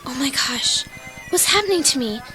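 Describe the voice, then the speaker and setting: A woman playing a young girl in a spooky audio drama, expressive and earnest. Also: sits high, normal speed. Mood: nervous, uneasy. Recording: old cassette with faint music.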